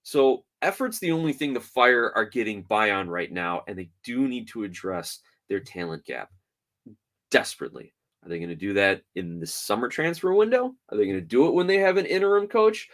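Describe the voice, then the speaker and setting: A man hosting a soccer podcast solo, talking through results and rumors in an animated, opinionated way. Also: fairly quick. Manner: animated, opinionated, swings from loud to low.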